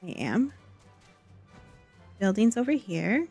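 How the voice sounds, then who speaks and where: A woman's voice: medium pitch, very animated, slow, warm tone, breathy edge. A woman live streamer giving casual, playful commentary on a game, muttering to herself in short remarks. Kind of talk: casual gameplay commentary, reading game dialogue aloud. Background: background music.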